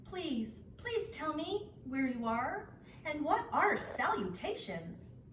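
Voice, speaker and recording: Storybook piglet, young male, played by a woman: earnest, anxious, over-polite, raised pitch, distant echoey recording. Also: big pitch swings, takes it slowly, noisy background, full character voice. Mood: excited, pleading. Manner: formal, over-polite, pleading.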